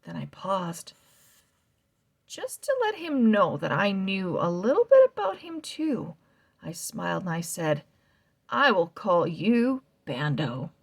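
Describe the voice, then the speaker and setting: A woman reading a children's novel aloud, slow and expressive, sometimes dropping to a soft whisper. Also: on the low side, creaky, slightly rough. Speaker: read-aloud narrator.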